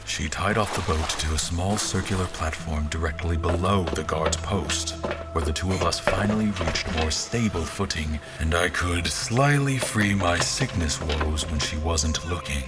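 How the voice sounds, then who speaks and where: An actor playing a wry royal officer, giving measured, literary first-person narration of his journey in an audio drama. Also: low voice, lightly acted. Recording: music under the narration.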